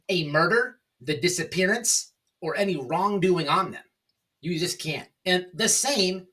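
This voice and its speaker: A man hosting an informal opinion commentary, speaking in a halting, repetitive, emphatic and sarcastic way. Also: high voice, bright, forceful tone, recorded from a distance. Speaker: online commentary show host.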